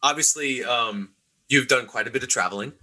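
Bright-voiced young American man. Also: mid-range voice, brisk. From New York, USA. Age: young adult. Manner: chatty, many fillers and restarts. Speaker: podcast co-host. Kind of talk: casual chat.

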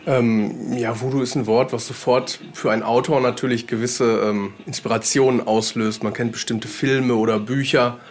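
A man speaking casually and reflectively in a measured, descriptive way as a podcast participant. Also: mid-range voice, level tone. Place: indoor exhibition room.

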